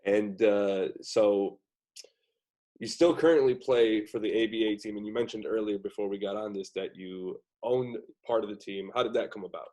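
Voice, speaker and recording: Host, man in his twenties, warm low voice, easygoing interviewer on a home webcam. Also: fairly even intonation, normal speed. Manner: casual and friendly, short questions. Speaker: podcast host. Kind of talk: casual interview podcast. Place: room at home, indoors. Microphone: webcam video call.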